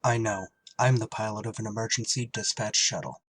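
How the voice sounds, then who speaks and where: A man's voice: on the low side, steady tone, a little fast, fairly bright tone, dry recording. A man acting a wry, duty-bound shuttle pilot in a scripted retro radio drama. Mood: grave narration. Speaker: actor and first-person narrator in an audio drama. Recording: clear background noise.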